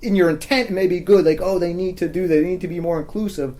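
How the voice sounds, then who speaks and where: American guy on a podcast, soft mellow voice kinda high, rambly and halting, making his argument. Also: steady tone. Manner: halting, filler-heavy, argumentative. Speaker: podcast guest. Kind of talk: casual opinionated conversation.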